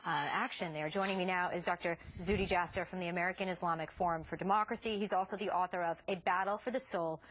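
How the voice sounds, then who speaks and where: Breathy-voiced woman news anchor. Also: lowish voice, steady tone, a bit bright. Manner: brisk, inquisitive questioning. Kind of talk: live TV news interview.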